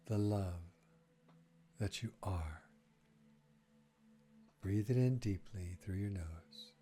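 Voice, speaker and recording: A man leading a guided breathing meditation, speaking gently in short phrases with long, unhurried pauses. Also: low-pitched.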